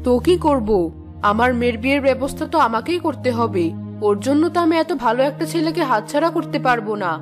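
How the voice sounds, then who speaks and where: A scheming middle-aged stepmother from a cartoon family drama , acted by a woman. Her voice sits high and she talks in a domineering, scolding way. Music plays clearly behind her. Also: expressive, fast talker. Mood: curt, resentful. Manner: scolding, bossy.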